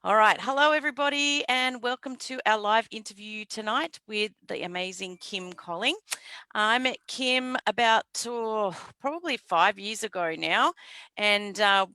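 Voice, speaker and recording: A woman's voice: mid-range voice, measured pace, pushed and bright, no room sound. A woman hosting a live online interview, introducing her guest and asking questions in an upbeat, encouraging way. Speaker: host, interviewer.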